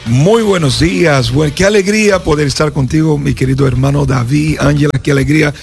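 A man's voice: on the high side, a little fast, creaky, soft background music. A Brazilian man, a pastor and native Portuguese speaker, giving an earnest personal testimony in Spanish as a guest on a radio interview. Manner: earnest storyteller, acts out voices in his story.

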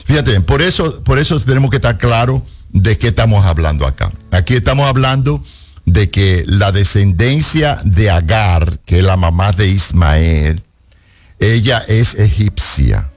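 A man hosting a radio call-in show as a pastor, teaching on the Bible and stressing points slowly. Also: on the low side, expressive. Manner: emphatic, slows down to stress points.

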